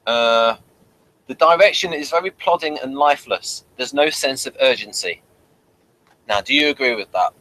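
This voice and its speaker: A man's voice: medium pitch, expressive, moderate pace, pushed and bright, low-quality recording. A man hosting a conversational review, reading notes aloud and adding dry, wry asides. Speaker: review show host.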